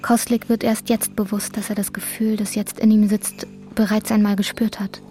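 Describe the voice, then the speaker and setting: A woman performing a female narrator with a mellow, dark-edged voice at a middle pitch. She tells an anxious man's inner thoughts in literary radio drama style, over faint music. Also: level tone, normal speed, natural voice. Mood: reflective, measured.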